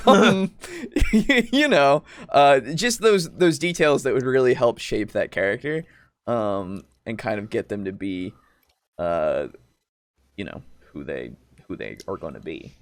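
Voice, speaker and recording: A man's voice: medium pitch, lively intonation, slow, dry recording. A man hosting a casual group discussion, talking informally with some loose swearing. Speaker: podcast host.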